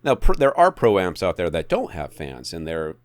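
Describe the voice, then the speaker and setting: A man's voice: sits low, wildly swooping pitch, moderate pace. A man co-hosting a podcast, chatting casually and wryly about home theater gear. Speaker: podcast co-host.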